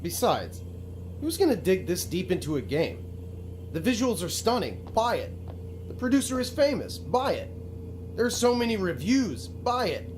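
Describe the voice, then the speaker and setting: A man's voice: sits high, sing-song, normal speed, a little hiss or hum, low-quality recording. A male actor playing a self-pitying, pushy game developer who narrates his own video game in a theatrical, pleading way. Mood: pushy, sardonic. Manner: pleading, self-justifying, dramatic.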